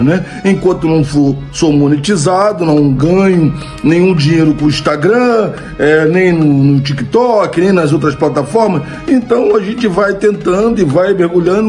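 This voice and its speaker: A man in his fifties with a Brazilian accent, talking loosely into a phone at home. His voice sits high for a man, and faint music runs underneath. He chuckles at his own jokes and keeps tagging lines with "né?". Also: darkish tone. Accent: Brazilian Portuguese. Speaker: comedy content creator answering viewer questions. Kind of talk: casual Q&A monologue. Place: home room, indoors. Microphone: old phone camera, close framing.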